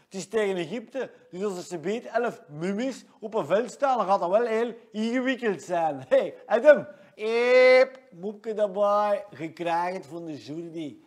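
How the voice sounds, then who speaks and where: A man's voice: high-pitched, animated, measured pace, few pauses. An actor playing a cocky 22-year-old shop salesman with a Leuven Flemish accent, who boasts and talks about himself in the third person in a comedy monologue. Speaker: comic character giving weekly rundown.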